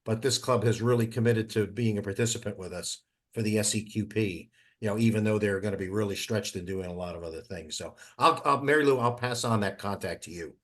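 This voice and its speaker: A man with a lowish voice talks casually on a conference call. He is chatty and a bit halting, often doubling back over his words. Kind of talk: casual meeting chat. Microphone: teleconference call.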